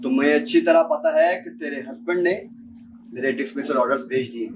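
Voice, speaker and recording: A man's voice: mid-range voice, fairly quick, background noise, lightly acted. A young man student acting a bitter, insistent blackmailer who threatens, in an amateur stage drama. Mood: menacing. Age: young adult. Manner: insistent, threatening, repeats himself. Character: menacing, bitter blackmailer demanding his job back. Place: university seminar hall stage. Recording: distant microphone on stage.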